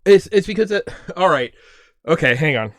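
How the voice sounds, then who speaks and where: A man hosting a casual livestream, talking and explaining things in a rambling, animated way. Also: a little high, moderate pace, dry recording. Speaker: livestream host. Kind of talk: casual livestream chat with his mother.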